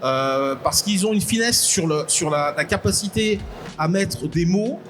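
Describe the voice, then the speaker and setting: A man from Congo, fairly high-voiced, speaks on a live convention stage. He searches for words aloud and often restarts his phrases. Loud crowd ambience fills the background. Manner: halting, repeats words while thinking. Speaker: podcast guest speaker. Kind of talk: live panel discussion. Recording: noisy live event ambience.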